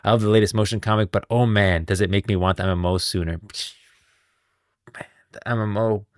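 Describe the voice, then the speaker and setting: A man's voice: lowish voice, fairly even intonation, fairly quick, dry recording, studio quality. A man hosting a livestream, talking casually and effusively to his viewers with open enthusiasm. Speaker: streamer and host.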